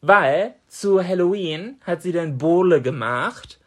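Woman, 30s, animated co-host; swinging, expressive delivery, quick to laugh while telling stories. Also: on the low side. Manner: animated storyteller, laughs often, acts out her own quotes. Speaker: podcast co-host. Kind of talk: casual chat, anecdote telling.

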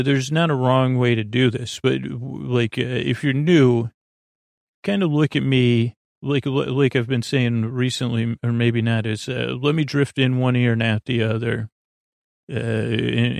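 A man hosting a sleep podcast, with a creaky, soothing voice, rambling and often losing his thread. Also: medium pitch, darkish tone, dry recording, studio quality. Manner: meandering, loses his thread, many fillers. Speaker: podcast host.

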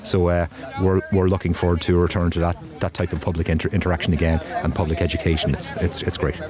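Rescue crewman, very deep voice, halting delivery, crowd noise behind. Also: flat delivery. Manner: halting, repeats words often. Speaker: search and rescue helicopter crew member. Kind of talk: outdoor interview. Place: outdoor event with crowd.

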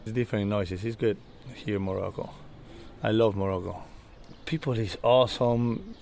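A man from Peru with a Peruvian Spanish accent, a Spanish speaker giving loose, halting casual interview answers. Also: lowish voice, creaky, darkish tone, a little hiss or hum. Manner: loose, halting non-native English with laughs. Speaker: whitewater guide and interview guest. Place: rooftop outdoors in an old city. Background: faint outdoor street and bird sounds.

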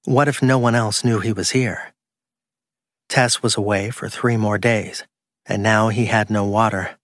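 A man's voice: fairly low pitch, steady tone, a little slow, no echo, clean studio sound. A man reading crime fiction as a scripted audiobook narration.

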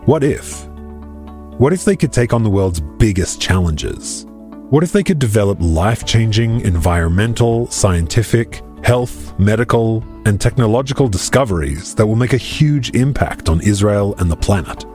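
A man's voice: on the low side, lively intonation, normal speed, darkish tone, soft background music. A man reading a radio advertisement with an inspiring, emphatic delivery. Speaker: ad announcer. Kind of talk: scripted advertisement.

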